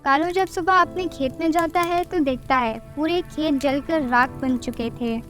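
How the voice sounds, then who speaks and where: A woman's voice: high voice, unhurried, a bit bright, faint music behind. A woman reading scripted narration for a children's cartoon moral story.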